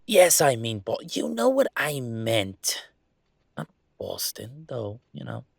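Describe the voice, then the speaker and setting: A man playing a troubled young male werewolf in an intimate audio roleplay, confiding in a halting, sardonic, self-deprecating way. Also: medium pitch, sing-song, gravelly, slightly rough, no room sound, studio quality, heavily acted. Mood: resigned.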